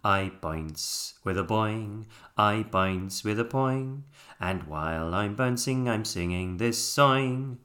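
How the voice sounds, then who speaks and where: A storybook narrator voicing a springy, cheerful male toy in a drawn-out, singsong manner. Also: mid-range voice, lively intonation, fairly bright tone. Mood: cheerful singing. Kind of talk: children's storybook character voice.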